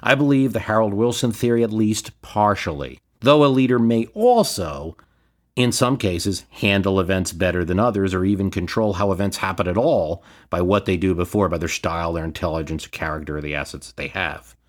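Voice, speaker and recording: American man, deep-pitched solo podcast host, explanatory commentary with measured, opinionated delivery. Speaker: history and politics podcast host.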